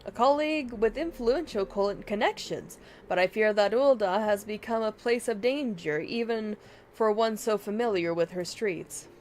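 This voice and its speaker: A woman's voice: mid-range voice, moderate pace, a little mellow, slight background noise. A woman livestreamer reading fantasy game dialogue aloud in a dramatic way, with game music behind her.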